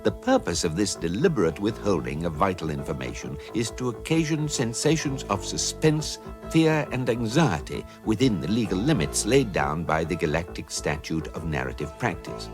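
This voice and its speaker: Urbane guidebook narrator, low register, lively inflection, dry irony, measured, radio comedy, music behind. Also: male voice. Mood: dry, formal. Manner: formal, deadpan, measured. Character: urbane male guidebook narrator, calm, drily ironic. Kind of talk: scripted narration in a comic sci-fi radio drama.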